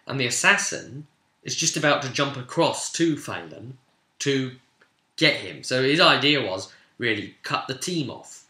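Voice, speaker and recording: Bright-voiced man in an echoey room. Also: mid-range voice. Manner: rambling, informal, acts out dialogue in passing. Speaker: game master recapping a tabletop role-play session. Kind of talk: casual retelling of a game session.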